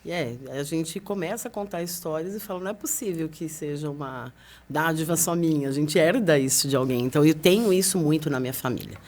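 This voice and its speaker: A woman who is a guest author, speaking calmly and thoughtfully in an interview. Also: sits low, fairly quick. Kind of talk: casual interview talk.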